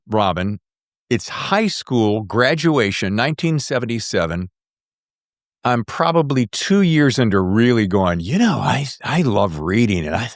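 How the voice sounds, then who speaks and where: A man in his sixties hosting a podcast, chatting casually with effusive, excitable fan enthusiasm. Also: medium pitch, dry recording, clean studio sound. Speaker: podcast host. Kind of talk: casual interview chat.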